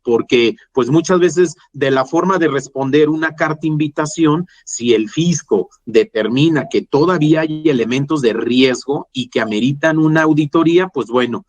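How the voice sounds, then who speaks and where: A man's voice: a little high, normal speed, few pauses. A man with a Mexican accent giving a professional webinar explanation, often adding tag questions to check agreement. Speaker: webinar panelist, tax litigation specialist.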